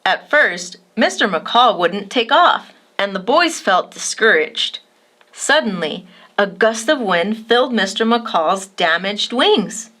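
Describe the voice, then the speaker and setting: Casting: female storybook host, bright and slow-paced, expressive read-aloud for kids. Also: medium pitch. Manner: expressive, addresses young listeners directly. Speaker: children's storybook reader and host. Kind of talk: animated read-aloud narration for children.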